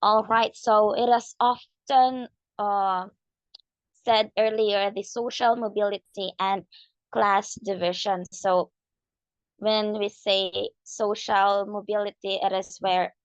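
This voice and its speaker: A young woman with a hoarse, husky voice giving a class presentation, explaining as she goes and leaning on "so" and "like". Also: mid-range voice, steady tone, slow, no room sound. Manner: explanatory, leans on "so" and "like". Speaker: student presenter. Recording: dull lo-fi mic.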